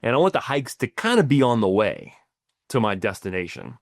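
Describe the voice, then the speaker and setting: A man's voice: mid-range voice, very animated, brisk, dry recording, clean studio sound. A middle-aged man co-hosting a podcast as a financial planner, explaining ideas in casual chat with many restarts and "right?" tags. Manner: stumbling restarts, frequent tag questions.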